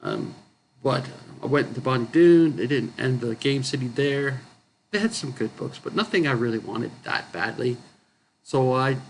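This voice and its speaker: A man chatting casually about his comic book haul, his voice going hoarse and rough, clearing his throat often and correcting himself as he rambles. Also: mid-range voice, slight background noise, low-quality recording. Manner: rambling, self-correcting, chuckling. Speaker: comic book collector vlogger.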